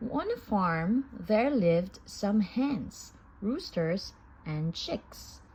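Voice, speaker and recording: A woman reading a children's story aloud in an expressive, sing-song manner. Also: mid-range voice, extremely slow, breathy edge, low-quality recording. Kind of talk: children's read-aloud narration.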